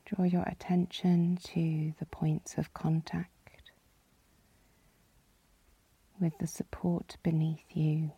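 Very soft, mellow, slow-speaking woman guiding meditation. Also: low-pitched, no echo. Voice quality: soft, breathy, husky. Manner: calm, soothing, gently instructing. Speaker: yoga nidra meditation guide. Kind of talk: guided relaxation meditation.

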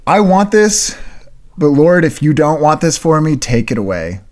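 A young man co-hosting a faith podcast, talking in a relaxed way with lots of "like". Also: a little high, normal speed. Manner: relaxed, many "like" fillers. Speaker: podcast co-host. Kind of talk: casual chat.

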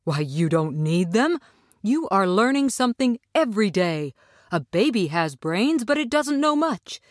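A woman's voice: mid-range voice, sing-song, unhurried, no echo, studio quality. A woman voicing a kindly, sheepish little old man, a fraudulent storybook wizard who speaks apologetically. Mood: reassuring. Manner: stuttering, sorrowful.

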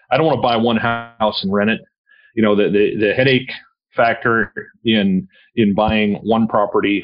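Male guest, forties, lowish voice, rambles, dull lo-fi audio. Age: 40s. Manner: rambling, many restarts and fillers. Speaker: podcast guest. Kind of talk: casual conversation. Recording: dull lo-fi sound.

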